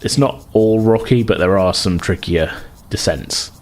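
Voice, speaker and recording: Low-pitched man running a tabletop game. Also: a little mellow. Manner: halting, repeats and rephrases descriptions as they go. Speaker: game master. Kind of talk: improvised narration of a tabletop role-playing game.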